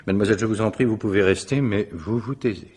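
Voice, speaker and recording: An actor playing a courteous, probing police officer in a French radio mystery drama, formal and politely insistent. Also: male voice, lowish voice, fast, darkish tone, husky. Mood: firm, courteous.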